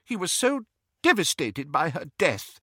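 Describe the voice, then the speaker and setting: Elderly wizard, frail and loyal, tremulous and stiff, bright tone; male reader. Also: a little high, sing-song, unhurried, breathy edge, dry recording, clean studio sound, pitched above the performer's own voice, heavily acted. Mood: grieving. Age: older.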